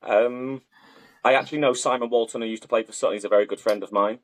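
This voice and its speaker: A man's voice: medium pitch, fairly even intonation, quick pace, dry recording. A man from North Wales talking casually and matter-of-factly as an interview guest, a sports coach. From Wrexham, North Wales. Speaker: guest, football strength and conditioning coach.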